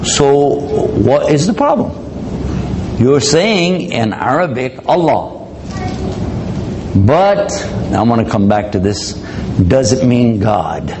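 A man's voice: mid-range voice, big pitch swings, slow, pauses often. Joking male lecturer, noisy hall recording. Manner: conversational, joking, acts out dialogue. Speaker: Islamic lecturer. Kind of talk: public lecture.

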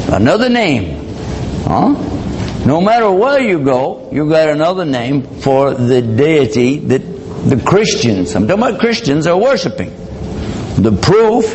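A man's voice: on the high side, noisy background. A man giving a public religious lecture, talking conversationally, joking and acting out both sides of dialogues. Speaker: Islamic lecturer.

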